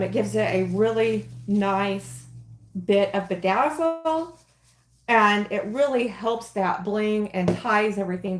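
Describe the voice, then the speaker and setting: A woman in her late forties chats her way through a crafting livestream, unhurried and rambling, full of ums and little giggles. Her mid-range voice carries an echo off the room around her. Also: mid-range voice. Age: 40s. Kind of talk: casual crafting livestream chat.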